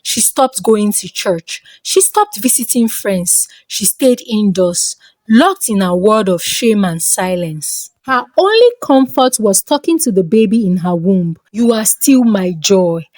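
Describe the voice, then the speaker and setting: A woman with a Nigerian accent reads a scripted folktale in a dramatic, expressive storytelling manner. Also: mid-range voice, unhurried, no room sound.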